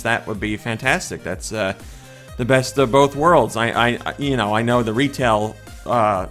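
A man in his thirties, his voice a little hoarse, hosting a casual daily news show from a small home room on a studio mic, chatting and thinking aloud with fillers and restarts. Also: mid-range voice, a bit bright. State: slightly hoarse voice. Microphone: large studio condenser mic in front of face.

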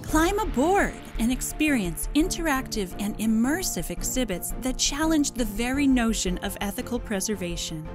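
An actress plays a grandiose woman announcing a parody museum advert. Her slightly high voice swings dramatically, with pompous pauses and a sinister edge. Loud music runs underneath. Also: close to an everyday voice.

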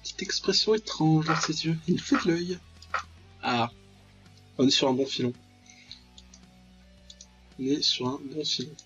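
High-pitched man doing playful game commentary. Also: measured pace, long pauses, fairly bright tone. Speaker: let's play host. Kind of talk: playful game commentary, reading visual novel text aloud. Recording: dull lo-fi mic with music behind. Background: game music.

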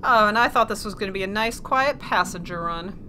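A woman's voice: mid-range voice, a little fast, background noise, close to an everyday voice. A woman playing a wry, matter-of-fact emergency shuttle pilot in an audio drama. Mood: casual, questioning. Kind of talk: audio drama first-person narration and dialogue.

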